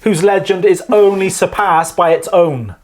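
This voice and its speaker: A woman's voice: fairly low pitch, measured pace, a little mellow. A woman co-hosting a homemade comedy show, telling tall tales with teasing mock grandeur. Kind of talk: playful comedy banter.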